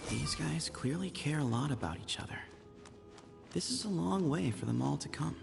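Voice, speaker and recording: Sly, gravelly young desert charmer. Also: male voice, fairly high pitch, expressive, moderate pace, music in the background. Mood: composed. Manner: glib, playful, evasive.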